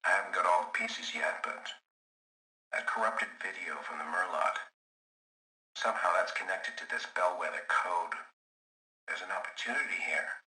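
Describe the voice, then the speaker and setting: A man playing a scheming middle-aged hacker in a video game audio log, brooding and calculating, sometimes dropping to a hushed, breathy voice. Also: deep voice, reverberant, rough recording.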